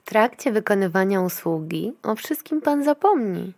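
An actress playing a brusque, officious clinic attendant in a dystopian future audio drama, clinical and commanding. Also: female voice, mid-range voice, lively intonation, normal speed, gravelly, darkish tone. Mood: clinical, brisk.

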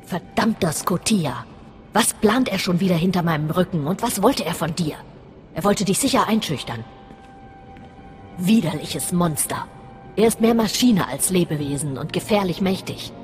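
Low-voiced scheming Sith woman, played by an actress. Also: lively intonation, close to an everyday voice. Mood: bitter. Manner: cold, deliberate, conspiratorial. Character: scheming, bitter female Sith master plotting against a rival. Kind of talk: scripted video game acting in German. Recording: long reverb, music under voice.

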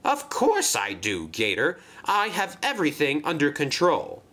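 Man voicing an excitable, high-pitched female train engine. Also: measured pace, exaggerated voice. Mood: flustered, bluffing. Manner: gushing, flustered, chatty. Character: excitable, chatty, naive female steam shovel engine. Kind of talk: children's train story character acting.

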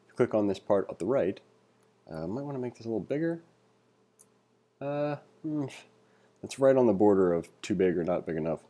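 Low, mellow-voiced man teaching informally. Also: animated, fairly quick, long pauses. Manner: informal, thinking aloud. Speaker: instructor. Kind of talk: read-along coding tutorial, reading aloud with casual asides.

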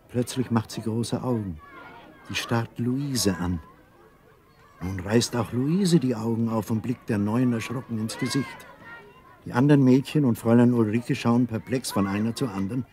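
A man narrating a children's story from a script, expressive and unhurried, with a husky voice. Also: mid-range voice, a little hiss or hum.

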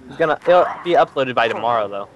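Chatty young man, lively voice. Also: medium pitch, fast talker, dull audio. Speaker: gamer. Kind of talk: casual gaming chat. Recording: noticeable background noise.

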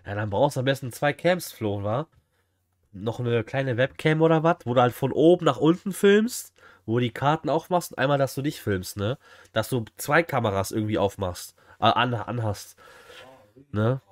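A man's voice: medium pitch, normal speed, dry recording, clean studio sound. Young man streaming, casual and slangy. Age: young adult. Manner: slangy, informal, chatty. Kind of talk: casual live reaction chat. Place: small indoor room at home.

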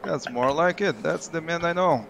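Game roleplay: breezy, mischievous medic, male player, creaky voice, a little background noise. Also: fairly high pitch, normal speed, fairly bright tone. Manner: breezy, familiar, mock-polite. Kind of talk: online roleplay game acting.

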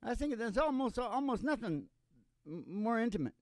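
A man's voice: very high voice, big pitch swings, brisk, darkish tone, no echo, clean studio sound. An older man hosting with folksy, playful, joking banter at a stand microphone. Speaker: podcast host and country singer. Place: small curtained studio. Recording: live acoustic guitar behind the voice.

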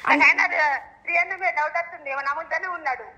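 Bright, high-voiced middle-aged Telangana mother. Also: very fast, echoey room. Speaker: mother receiving a prank call. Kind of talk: casual phone conversation. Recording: noisy phone call on speaker.

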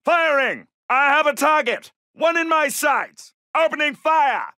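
An actor playing a brisk, gallant British Second World War soldier in a video game, with a clipped, commanding and formal manner. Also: male voice, extremely high pitch, expressive, bright, forceful tone, dry recording, studio quality, heavily acted. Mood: brisk, satisfied. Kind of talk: military game battle barks.

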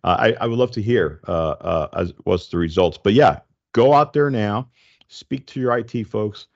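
Middle-aged man, low voice, conversational podcast explainer, close desk mic, slightly muffled lo-fi audio. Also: moderate pace, gravelly. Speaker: podcast host. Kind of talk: casual explanatory tech podcast monologue. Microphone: close desk microphone with headphones.

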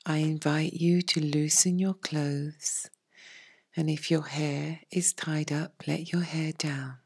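Slow, low, breathy woman guiding meditation. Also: fairly even intonation. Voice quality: soft, breathy, near-whispered. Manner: soothing, hushed, measured phrasing with long pauses. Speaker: yoga nidra meditation teacher. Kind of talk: guided meditation narration.

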